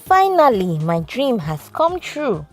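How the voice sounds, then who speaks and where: A woman's voice: fairly high pitch, very animated, slow, a little mellow, faint music behind, voice pushed up, full character voice. A woman voicing an earnest, emotional village mother who longs for a daughter, in a folktale. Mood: overjoyed.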